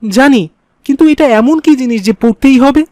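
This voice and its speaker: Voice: high voice, moderate pace, mellow, no echo, lightly acted. A performer playing a sulky, mischievous, impatient little boy in a cartoon. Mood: sulky, irritated. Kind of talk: cartoon dialogue.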